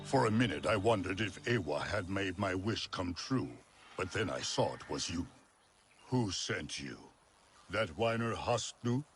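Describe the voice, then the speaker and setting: A man playing a proud, widowed alien hunter in a video game, gruff, blunt and brooding. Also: sits low, animated, unhurried. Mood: gruff, dismissive. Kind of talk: video game cutscene acting. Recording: music underneath.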